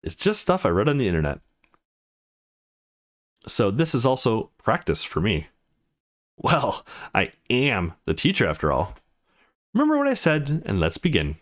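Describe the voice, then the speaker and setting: An easygoing young visual novel hero playing the bossy teacher, read aloud by a man. He is mock-stern, in a mid-range voice with very lively intonation. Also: medium pitch, moderate pace, pauses often. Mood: instructive.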